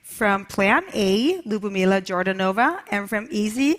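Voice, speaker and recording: A woman's voice: mid-range voice, expressive, moderate pace, a bit bright. Upbeat woman moderating a conference panel. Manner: upbeat, with many fillers. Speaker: moderator and award presenter. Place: large conference hall stage.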